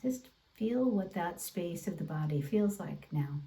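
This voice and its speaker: Very mellow woman guiding a meditation. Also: on the low side, expressive. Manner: gentle, deliberate, encouraging phrasing. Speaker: meditation and gentle movement instructor. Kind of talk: guided meditation instruction. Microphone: away from the mouth, video call. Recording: distant microphone, online video class.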